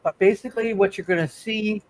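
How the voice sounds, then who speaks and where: A man speaking casually as game master, setting scenes haltingly and repeating phrases for emphasis. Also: high voice, steady tone, poor audio. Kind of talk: casual live tabletop game narration.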